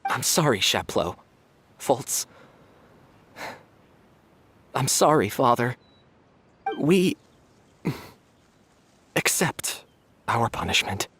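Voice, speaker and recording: A man playing a contrite young member of a secret household in a video game, remorseful and halting. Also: medium pitch, very animated, a bit bright, slight background noise. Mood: remorseful, resigned. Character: contrite young man accepting his punishment. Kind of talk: scripted game voice acting.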